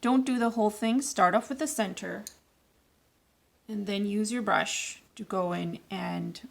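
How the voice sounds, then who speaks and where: A woman with a raspy voice today, giving loose, rambling, self-correcting instructions in a casual watercolour tutorial. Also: mid-range voice, a little slow. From Canada. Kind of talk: casual painting tutorial voice-over.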